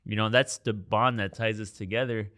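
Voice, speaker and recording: A man's voice: fairly low pitch, fairly even intonation, normal speed, pushed and bright. A man who grew up in France, a podcast guest, talking earnestly and encouragingly in a casual interview. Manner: earnest, encouraging, restarts often.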